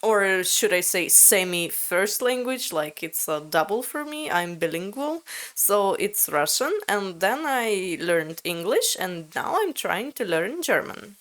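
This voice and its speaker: Slow-talking woman, expressive. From Latvia. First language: Latvian. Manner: expressive, sudden jumps up in pitch, candid. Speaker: vlogger. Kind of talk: casual vlog narration and chat.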